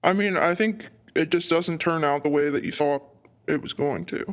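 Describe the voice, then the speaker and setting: Guy guesting on a podcast, voice a little higher than average, casual chat. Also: normal speed. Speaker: podcast guest, novice voice actor.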